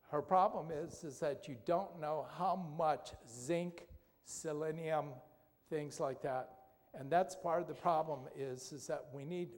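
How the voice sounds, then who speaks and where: A man with a fairly high voice gives a casual health talk in a church hall, turning to the crowd with questions and tagging his points with a quick "okay?". A long hall reverb hangs on every word. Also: a little mellow. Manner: conversational, asks the audience questions, tag "okay?". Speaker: doctor giving a health talk. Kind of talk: health lecture with audience questions.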